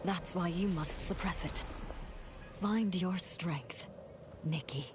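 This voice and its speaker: Divine guide, low pitch, unhurried, hushed, breathy, grave, oracular, fantasy game, prominent music behind. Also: female voice. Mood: solemn, cryptic. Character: solemn mysterious divine woman guiding a young heroine. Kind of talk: scripted fantasy game cutscene acting.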